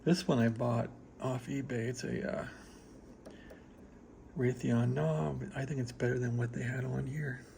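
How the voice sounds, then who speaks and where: A man giving a casual, rambling show-and-tell as a hobbyist, laid-back and halting as he thinks aloud. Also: medium pitch, fairly even intonation, gravelly, mellow, slight background noise. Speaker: hobbyist showing a restored radio receiver.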